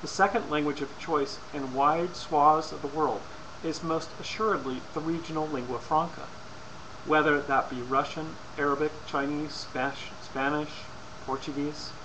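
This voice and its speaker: A man with a slightly higher-than-average voice reads out a persuasive, scripted lecture. His delivery is formal and deliberate, with the odd stumble over a word. The recording has clearly audible noise behind him. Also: steady tone. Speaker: presenter. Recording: clearly noisy amateur recording.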